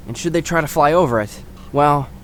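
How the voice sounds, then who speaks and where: An actor playing an earnest, assured fantasy guide who explains things in a solemn, formal way in an audio drama. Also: male voice, fairly high pitch, fairly quick, fairly bright tone, slight background noise, natural voice. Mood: grave, firm.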